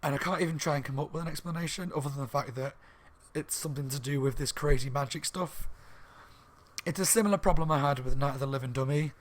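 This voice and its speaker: A slightly hoarse man reviewing a book in a rambling, casual chat at home, with lots of ums and restarts. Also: a little high, fast. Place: room at home by a window on a main street.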